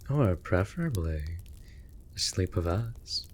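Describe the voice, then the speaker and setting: A man's voice: low-pitched, very animated, slow pace, a little mellow, slight background noise, heavily acted. A man voicing a male raven familiar in a fantasy comfort audio drama, affectionate and cheeky, with mock-polite, playful banter. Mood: playful teasing. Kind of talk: scripted comfort audio roleplay.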